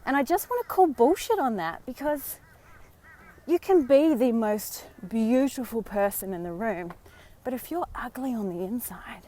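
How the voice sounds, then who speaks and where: An Australian woman vlogging live on a walk outdoors, slightly hoarse from a sore throat, talking in a chatty, encouraging way with many fillers. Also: fairly high pitch, animated, normal speed, warm tone. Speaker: vlogger and dating coach. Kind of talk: casual live-stream talk.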